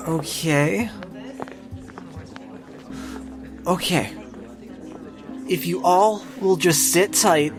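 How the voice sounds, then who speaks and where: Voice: mid-range voice, slow. A performer playing a harried young male clerk in an audio drama, courteous, deferential and a little wry. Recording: music behind the voice.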